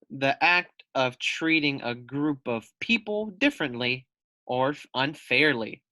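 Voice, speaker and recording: A man leads a read-aloud lesson for young children in a bright, forward voice. He takes his time, explains carefully, asks questions and goes back over key points. The sound is dry and close, with no room echo. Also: on the high side. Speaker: teacher reading a children's book aloud.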